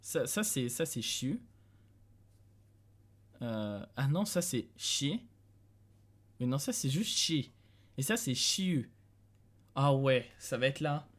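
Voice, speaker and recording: A man's voice: mid-range voice, sing-song, takes it slowly, long pauses. A young man from France streaming from a dim room at home, thinking aloud in casual commentary, chuckling and talking to his chat.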